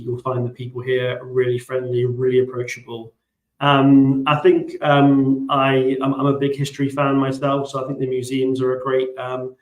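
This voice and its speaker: Male panelist, very monotone delivery, friendly but halting, roomy sound. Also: mid-range voice. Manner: friendly, lots of fillers. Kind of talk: live Q&A panel chat.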